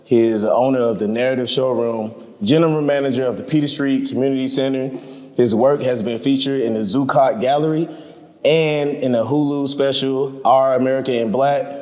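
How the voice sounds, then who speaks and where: A man's voice: medium pitch, steady tone, fairly quick, a little mellow, long reverb tail. A young man hosting a podcast interview, friendly and easygoing, often saying bro. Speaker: podcast host. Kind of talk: casual interview chat.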